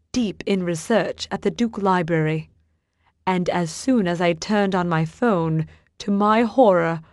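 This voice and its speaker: A woman's voice: darkish tone, no echo, clean studio sound, natural voice. A woman putting on a pompous man with a fake British accent. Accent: put-on British. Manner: pompous, booming, haughty.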